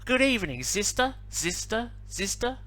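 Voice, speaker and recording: A man's voice: high-pitched, very animated, normal speed, bright, forceful tone, pitched above the performer's own voice, heavily acted. A man voicing a plucky, clever young female duck in a picture-book reading, sweet and sing-song.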